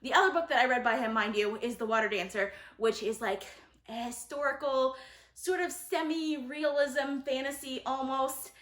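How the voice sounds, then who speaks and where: A woman's voice: high voice, fairly even intonation, moderate pace, fairly bright tone. A woman talking casually and enthusiastically to her viewers as a book reviewer, gushing and emphatic. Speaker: book reviewer, video host. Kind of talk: casual book-tag vlog talk to viewers. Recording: roomy echo.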